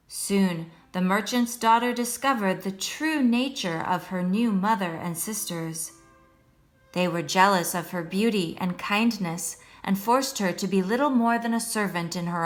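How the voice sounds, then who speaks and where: A woman reading a children's story aloud as a storyteller, in a measured, expressive way meant for young listeners. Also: mid-range voice. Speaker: storyteller, first-grade teacher. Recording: music behind the voice.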